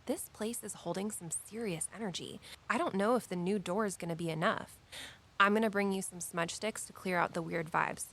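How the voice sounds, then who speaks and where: A woman's voice: mid-range voice, brisk, creaky, fairly bright tone. A woman playing a breezy, mystical young shop worker and daughter, talking casually in an audio drama.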